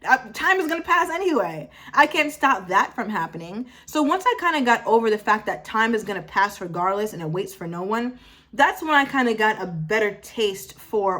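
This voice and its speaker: Young woman, twenties, motivational vlogger, mid-pitched voice, emphatic, repeats phrases for punch, corrects herself mid-sentence. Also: fairly bright tone. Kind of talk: casual motivational talk to camera.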